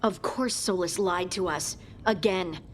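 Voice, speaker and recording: A woman's voice: fairly low pitch, measured pace, a little hiss or hum, lightly acted. An actress playing a loyal, determined female fighter in a fantasy game, sincere and plain-spoken. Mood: wry, worried. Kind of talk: scripted fantasy game dialogue.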